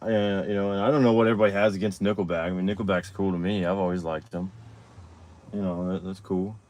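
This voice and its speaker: A man hosts a relaxed livestream. His voice sits a little low, and he talks in loose, rambling stretches with frequent restarts, pausing to read viewers' questions aloud. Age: 30s. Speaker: livestream host. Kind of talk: casual livestream chat.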